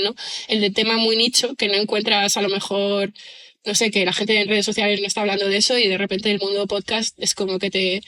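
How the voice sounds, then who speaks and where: Woman from chatting on a podcast, bright voice, kinda monotone, lots of um and o sea. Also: medium pitch. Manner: thoughtful, rambling with many fillers and restarts. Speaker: writer and screenwriter guest. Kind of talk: casual podcast interview.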